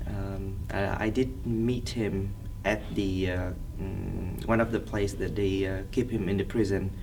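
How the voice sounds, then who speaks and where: A man's voice: low voice, fairly even intonation. A man in his thirties from Vietnam, a native Vietnamese speaker with a Vietnamese accent, answering interview questions in a hesitant way, searching for words. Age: 30s. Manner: hesitant, halting, frequent pauses searching for words. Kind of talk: oral history interview, recollection. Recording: somewhat distant mic, faint background noise.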